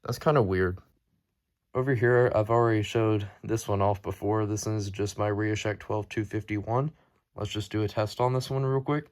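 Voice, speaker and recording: A man with a fairly low voice talks through a hobby collection in an informal video. His delivery is matter-of-fact and unscripted, like someone casually showing items one after another. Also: fairly even intonation, normal speed. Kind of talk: casual show-and-tell narration.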